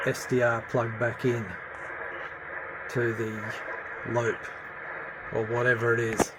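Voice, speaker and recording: A man giving an unscripted hands-on demonstration as a hobbyist, speaking haltingly with many pauses. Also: medium pitch, background noise. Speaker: amateur CB radio hobbyist presenter. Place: indoor room at home with radio gear.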